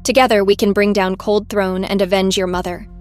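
A woman playing a formal, commanding intelligence agency chief in an audio drama, speaking in a measured way. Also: medium pitch, level tone, faint music behind, natural voice. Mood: persuasive. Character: authoritative female intelligence agency chief, calm and persuasive. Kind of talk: scripted audio drama acting.

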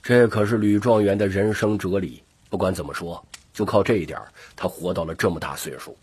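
A man with a gravelly, husky voice narrating an audiobook story expressively. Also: low voice, fairly quick, darkish tone.

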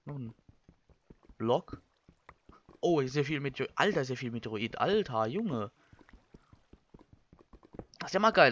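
A young man with a fairly high voice, recorded a little dull and lo-fi. He comments casually on his gameplay as a Let's Play host. His talk is colloquial, and he thinks out loud as he goes. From Germany. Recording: slightly dull, lo-fi microphone.

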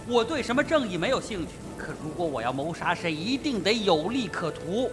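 A man's voice: high voice, very animated, brisk, music in the background. A man playing a sardonic, self-possessed colonel in a Mandarin radio mystery, with a mocking, provocative manner. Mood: scornful, defiant. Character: sardonic, self-possessed colonel suspected of murder, needling the others.

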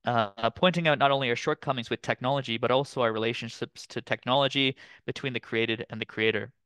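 Canadian-born guy in his 30s lecturing over a video call, smooth clear voice, dry close sound, loose and chatty. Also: mid-range voice, quick pace, no echo. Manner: relaxed, chatty, frequent fillers and "too". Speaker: guest lecturer. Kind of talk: online academic presentation. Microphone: computer mic on video call.

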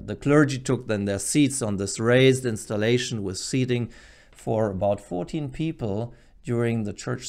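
A man's voice: mid-range voice, creaky. A middle-aged man explaining to camera like a teacher, in an earnest, emphatic manner, speaking at a desk microphone. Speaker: presenter, Bible teacher. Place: small furnished studio room at a desk, indoors. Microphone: desk microphone close in front.

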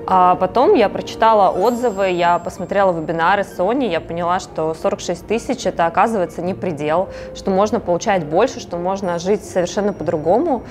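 A woman in her twenties from Russia, a depilation specialist, giving a candid, expressive interview answer in a decorated studio. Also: on the low side, fairly quick, few pauses. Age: 20s. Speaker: beauty technician.

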